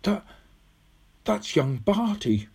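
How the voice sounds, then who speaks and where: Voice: mid-range voice, very animated, normal speed, darkish tone, husky. Narrator doing an earnest, bewildered young boy. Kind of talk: audiobook character voice.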